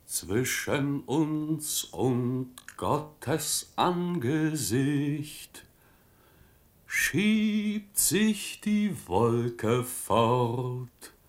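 A reverent hymn in a radio drama, drawing every word out at an extremely slow pace. The delivery is solemn and chant-like. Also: mid-range voice. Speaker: reciter of a hymn.

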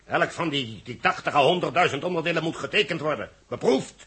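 An actor playing a testy, condescending rocket engineer in a 1960s Dutch radio play. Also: male voice, fairly high pitch, lively intonation, a little fast, fairly bright tone, slightly rough, full character voice. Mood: irritated, condescending. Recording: old 1960s radio drama recording.

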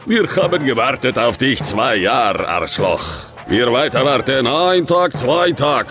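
An actor playing a brutal, gloating warlord in a radio drama, barking orders in broken German with a Russian accent. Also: male voice, mid-range voice, animated, unhurried, close to an everyday voice. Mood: gloating, menacing. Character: brutal, gloating foreign warlord of wasteland nomads.

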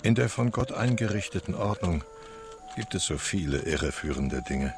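An actor playing a musing, folksy philosopher in a radio play, telling his thoughts in an animated, gravelly voice. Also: deep voice, moderate pace. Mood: wryly musing. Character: musing, folksy philosopher picturing death as a friendly guest. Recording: music behind the voice. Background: music.

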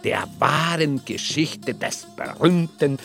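Male author-narrator, unhurried, measured, ornate, wry, radio drama, music behind. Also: on the high side, expressive, creaky, fairly bright tone, exaggerated voice. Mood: ornate, amused. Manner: measured, formal.

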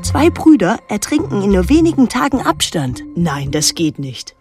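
An actress playing a troubled, watchful daughter who narrates an island mystery in a measured, literary way. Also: female voice, mid-range voice, big pitch swings, darkish tone, faint music behind, close to an everyday voice. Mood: shocked. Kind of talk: literary radio drama narration and dialogue.

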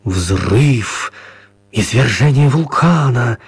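A man narrating a children's radio play as an expressive, wry storyteller. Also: medium pitch, drawn-out words, breathy edge, heavily acted. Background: music.

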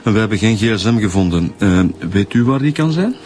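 Low-pitched easygoing Flemish detective played by a man. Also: animated, quick pace, a little mellow, natural voice. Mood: inquiring. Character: easygoing, bantering male detective inspector. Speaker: police inspector. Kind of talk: radio drama acting.

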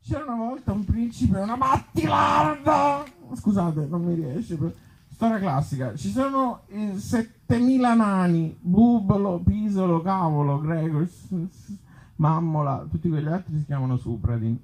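A man puts on a befuddled storybook reader with a raised, slightly husky voice. The delivery is stubborn and halting, stumbling over words and repeating them, as comic theatre. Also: high voice, animated, voice pushed up, exaggerated voice, low-quality recording. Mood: excitable, insistent. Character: befuddled, stubborn reader of absurd little fairy tales.

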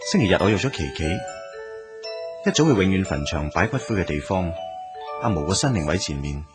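A man's voice: low voice, sing-song, brisk, over music. A man acting as a wistful young man in a radio drama, speaking and narrating in Hong Kong Cantonese. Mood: reflective.

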